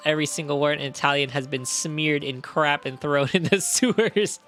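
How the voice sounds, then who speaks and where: A man's voice: fairly high pitch, moderate pace, fairly bright tone, faint music behind. Playful male streamer reading a game aloud. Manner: playful, rambling, self-mocking.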